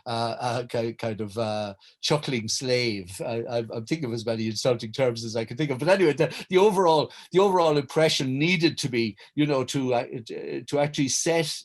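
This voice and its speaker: Halting, wordy Irish man. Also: mid-range voice. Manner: hesitant, stammering restarts, wordy and articulate. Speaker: writer and director, panel guest. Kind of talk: reflective conversation recounting memories.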